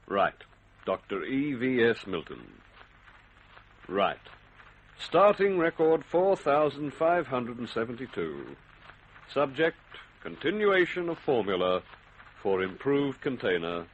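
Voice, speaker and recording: An actor playing a nervous, clipped, easily alarmed research scientist in an old radio drama. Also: male voice, medium pitch, big pitch swings, takes it slowly, long pauses, a little hiss or hum, poor audio. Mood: businesslike.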